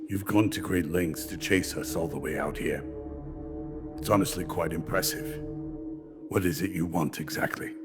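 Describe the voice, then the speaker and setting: Deep-voiced stoic starship captain played by a man. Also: close to an everyday voice. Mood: cool defiance. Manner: measured, formal, firm. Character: stoic, hard-bargaining male starship captain. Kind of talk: radio drama acting. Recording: loud music behind the voice.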